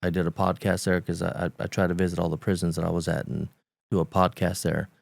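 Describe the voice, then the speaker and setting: A Romanian-born man in his forties with a Romanian accent, English his second language, telling his story as a guest on a talk show, halting and repeating words as he recalls. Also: deep voice, little pitch movement, gravelly, no echo. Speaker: podcast guest. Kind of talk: candid conversational storytelling.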